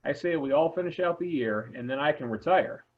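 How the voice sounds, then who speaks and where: A man's voice: on the high side, brisk, natural voice. Guy reading as practical teacher in a treasure hunt table read, sounds like a phone line. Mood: matter-of-fact. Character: practical teacher leading students on treasure hunt, Mister Williams. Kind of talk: amateur table read of a movie script. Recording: thin phone-like audio.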